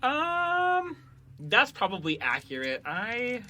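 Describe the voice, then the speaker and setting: A man's voice: very high-pitched, huge pitch swings, slow, pushed and bright. A man co-hosting a podcast in casual banter, speaking informally and laughing easily. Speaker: podcast host, show creator.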